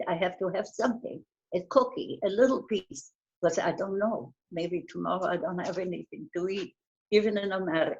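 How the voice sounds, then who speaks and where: An older woman from Bulgaria whose first language is German, recalling her past in an oral history interview, halting and often restarting words. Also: fairly low pitch, a little mellow, highs cut off. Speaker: interviewee, Holocaust survivor.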